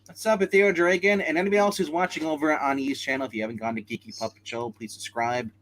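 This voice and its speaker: A man's voice: fairly high pitch, fairly even intonation, fast, a bit bright. A man co-hosting a casual livestream, talking about pop culture in a rambling, informal way. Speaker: livestream co-host.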